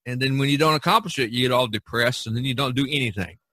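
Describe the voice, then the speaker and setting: A man's voice: mid-range voice, a little fast, bright, forceful tone, no echo. A man hosting a casual podcast, giving advice in a rambling, folksy way full of "you know". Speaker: podcast host and painter. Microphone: video call recording.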